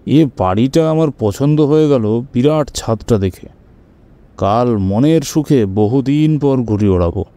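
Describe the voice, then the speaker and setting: Radio drama: earnest, chatty male hobbyist, proud of his kites, very soft dark tone man acting. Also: mid-range voice, lively intonation, unhurried, lightly acted. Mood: nostalgic. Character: Fatikbabu, keen kite-flying man, new tenant, earnest and proud. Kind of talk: radio audio-story acting, conversational storytelling.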